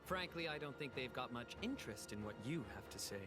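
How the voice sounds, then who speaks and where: A man's voice: mid-range voice, lively intonation, a little fast, loud music behind the voice, close to an everyday voice. An actor voicing a flamboyant, sneering male game villain with mock politeness and a mannered, taunting drawl. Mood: mocking, smug. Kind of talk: dubbed video game cutscene acting.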